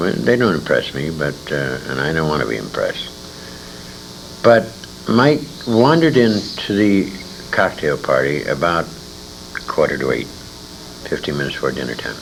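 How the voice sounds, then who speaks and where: An older man with an extremely low, gravelly voice tells stories in an interview. His delivery rambles and halts while his pitch moves around freely. The amateur 1980s recording has clear background noise. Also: poor audio. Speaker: interviewee, radio veteran. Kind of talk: reminiscing interview storytelling.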